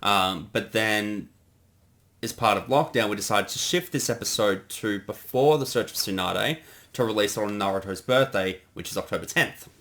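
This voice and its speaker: A man co-hosting a creator commentary with a somewhat low voice. He talks in a relaxed, affable, conversational way. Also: moderate pace. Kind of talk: casual commentary chat.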